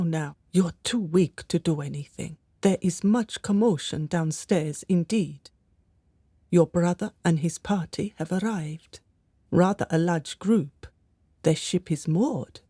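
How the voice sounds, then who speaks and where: A woman's voice: lowish voice, unhurried, dark tone, slightly rough, no room sound, studio quality. An actress playing a pious, devoted lady's maid in a radio drama, fretful and deferential. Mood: fussing, soothing.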